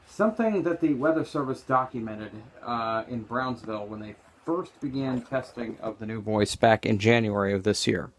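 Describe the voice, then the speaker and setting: A man giving an informal explanatory commentary, halting and emphatic, often restarting his phrases. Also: mid-range voice, slight background noise, poor audio. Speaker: video creator. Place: small room at home.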